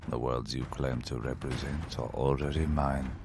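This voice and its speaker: An actor playing an arrogant, menacing dragon in humanoid form in a fantasy audio drama, pompous and grandiose, with a gravelly voice. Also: male voice, rumbling low, fairly even intonation, normal speed, music in the background.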